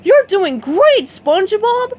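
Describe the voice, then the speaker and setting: A woman voicing a dim, excitable male starfish friend, loud and dopey. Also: sits high, normal speed, highs cut off. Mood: excited.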